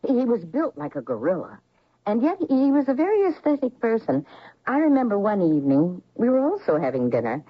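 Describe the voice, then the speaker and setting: A woman's voice: medium pitch, wildly swooping pitch, fairly quick, breathy edge. An actress playing a genteel, chatty older mother in an old-time radio drama, speaking in refined, reminiscing phrases. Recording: dull lo-fi old radio recording.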